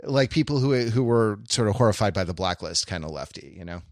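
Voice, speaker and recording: A man's voice: lowish voice, expressive, fairly quick, no echo, clean studio sound. A man in a casual podcast discussion, searching for his words as he talks. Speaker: podcast host.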